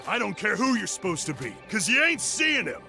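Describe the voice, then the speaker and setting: An actor playing a gruff, surly town guard in a video game, gravelly and brusque, with slangy speech. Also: male voice, high voice, sing-song, fairly quick, exaggerated voice. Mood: hostile. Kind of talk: video game cutscene acting. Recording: loud music behind the voice.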